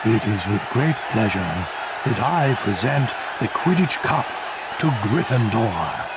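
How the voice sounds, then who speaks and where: A man's voice: medium pitch, big pitch swings, slow pace. A man voicing a stately school dignitary making a ceremonious announcement in a video game. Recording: loud crowd and noise behind.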